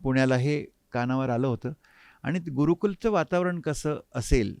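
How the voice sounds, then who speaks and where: A man, reminiscing reflectively as an interview guest and often pausing mid-sentence to find his words. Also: medium pitch, a little mellow, smooth, dry recording. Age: 50s. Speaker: interview guest, harmonium player. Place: recording studio.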